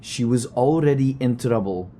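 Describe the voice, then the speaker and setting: An actor plays a steady, supportive male friend in a horror audio drama. His voice is soft-edged and mellow, with a faint hum of car and road behind it. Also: medium pitch, moderate pace, close to an everyday voice. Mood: gentle, reassuring.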